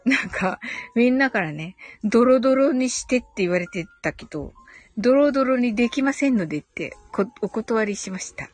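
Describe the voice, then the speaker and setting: A woman's voice: a little high, expressive, fairly quick, no room sound, soft background music. A woman hosting a live audio stream, chatting casually and politely as she reads and answers comments, with frequent agreeing hums and giggles.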